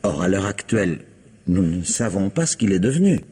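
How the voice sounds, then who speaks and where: An actor playing a cautious, formal notary who talks with measured, hesitant pauses in an old French radio drama. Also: male voice, deep voice, very animated, dark tone, breathy edge, heavily acted. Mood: explaining. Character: cautious, formal middle-aged male notary.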